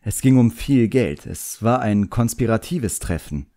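A man's voice: lowish voice, lively intonation, a little slow, dark tone, pitched above the performer's own voice. A man voicing a proud, sullen young boy apprentice magician in an audiobook, defiant and muttering. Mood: haughty.

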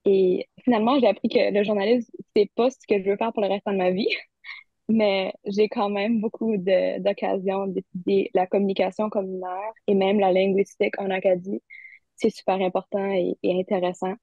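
A woman's voice: medium pitch, level tone, normal speed, dry recording. A young woman student from Ontario chatting about her studies, talking easily and laughing often. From Barrie, Ontario, Canada. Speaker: university student guest. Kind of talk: casual conversation. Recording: dry, phone-like audio.

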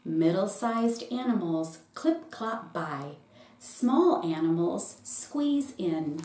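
A middle-aged woman, a pastor, reading a children's picture book aloud in short, deliberate phrases. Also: lowish voice, expressive, dark tone. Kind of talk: storybook read-aloud for children. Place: small indoor room with bookshelf. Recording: roomy echo with faint background noise.

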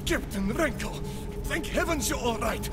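A woman's voice: a little high, expressive, moderate pace, loud music behind the voice, lightly acted. A woman playing an earnest, urgent resistance ally in a dramatic game cutscene. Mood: urgent, hopeful.

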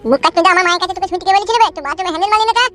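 Cheeky, high-pitched cartoon baby boy. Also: very fast, heavy background noise. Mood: insolent. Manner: insolent, answers back. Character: cheeky foul-mouthed newborn boy talking like an adult. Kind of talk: comedy cartoon dialogue. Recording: loud music under the voice.

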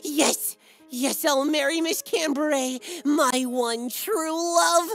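Woman as a creaky, swooning cat sprite. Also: sits high, faint music behind, heavily acted. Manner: swooning, melodramatic, drawn-out. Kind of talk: comic dub cartoon acting.

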